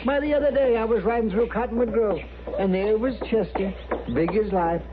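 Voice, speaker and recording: Character part male actor: garrulous older American frontier doc, high-pitched, musing and folksy, noisy old radio sound. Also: fairly quick, narrow, tinny audio, close to an everyday voice. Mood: gossipy. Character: chatty, dithering, folksy older frontier town doctor. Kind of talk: old-time radio western acting.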